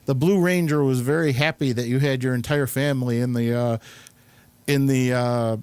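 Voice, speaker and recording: A man's voice: medium pitch, steady tone, moderate pace, a little mellow, clean tone, dry recording. A man hosting a talk show, chatting casually with a rambling, informal and complimentary manner. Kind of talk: casual studio chat, introducing guests.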